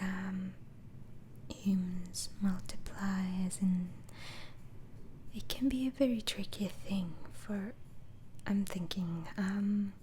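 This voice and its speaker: Slow, whispering woman in ASMR roleplay. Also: medium pitch, slight background noise. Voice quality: breathy, mostly unvoiced whisper. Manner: slow, hesitant questioning with soft murmured acknowledgements. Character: mild, patient asylum doctor interviewing a patient. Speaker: ASMR roleplay performer playing an interviewing doctor.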